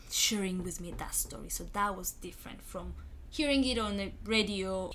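Woman, Rwandan accent, young adult, earnest and reflective, faint background noise. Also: on the low side. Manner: earnest, reflective, repeats phrases for emphasis. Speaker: guest. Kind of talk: earnest interview conversation.

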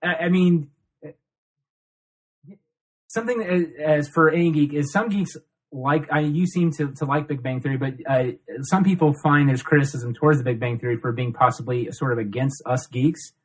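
Laughing, swearing young podcast host. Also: male voice, a little high, normal speed, slightly rough. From Ohio, USA. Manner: loose, laughing, swears freely. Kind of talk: casual podcast chat and interview.

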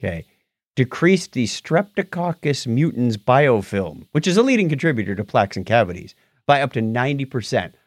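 A man's voice: mid-range voice, no echo. A middle-aged man chatting through tech news as a podcast co-host. His voice swings up and down with animation, and he trips over tricky words. The sound is dry and close to the mic. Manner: animated, stumbles over hard words, does quick voices in quotes. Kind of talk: casual tech news chat.

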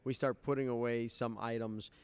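A man co-hosting a casual podcast, talking in a halting way with many filler pauses. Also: mid-range voice, level tone, clear, resonant tone. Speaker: podcast co-host and fireworks importer. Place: convention hotel room.

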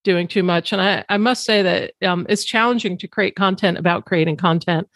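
A woman's voice: lowish voice, a little fast, a bit bright, no room sound. A middle-aged woman hosting a podcast, talking casually with a business partner and often laughing mid-sentence. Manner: laughs often while talking, loose and chatty. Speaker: podcast host. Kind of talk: casual conversation.

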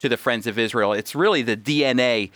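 A man with a medium-low voice hosts a teaching talk, recorded close and dry. He explains things step by step in a conversational but emphatic manner, addressing the listener directly. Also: brisk, fairly bright tone, dry recording.

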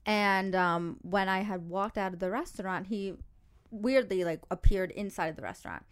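A woman's voice: medium pitch, moderate pace, clean tone. Bubbly woman podcast host. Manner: bubbly, laughs often, rambling with restarts. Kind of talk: casual chat.

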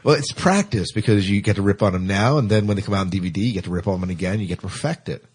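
A man's voice: fairly low pitch, racing, slightly rough. A man hosting a podcast in casual, informal chat, often repeating his words. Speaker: podcast host.

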